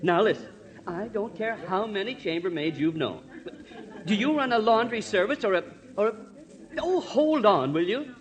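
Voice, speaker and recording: Fussy, high-pitched hotel clerk. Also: male voice, lively intonation, moderate pace. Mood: indignant, exasperated. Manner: flustered, exasperated, abruptly switching to apologetic politeness. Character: fussy, harried, snippy male hotel desk clerk. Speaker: character actor. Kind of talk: 1950s radio drama acting.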